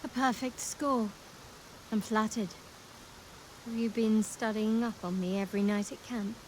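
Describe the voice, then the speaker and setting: A woman playing a guarded, wry young cleric companion in a fantasy video game, measured and intimate. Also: on the high side, steady tone, a little hiss or hum, lightly acted, low-quality recording. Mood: wry, flattered. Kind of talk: video game dialogue acting.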